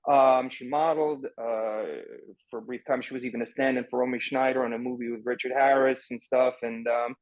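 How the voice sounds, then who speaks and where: A man's voice: mid-range voice, steady tone, a little fast, no room sound, highs cut off. A man on a video call, telling a long personal story with a lot of fillers. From Germany. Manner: rambling, many fillers. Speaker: actor, interview guest. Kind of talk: casual conversation. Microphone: laptop video call.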